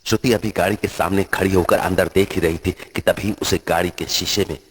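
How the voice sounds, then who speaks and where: A man's voice: deep voice, fairly even intonation, a little fast, husky. A man narrating a scripted horror story.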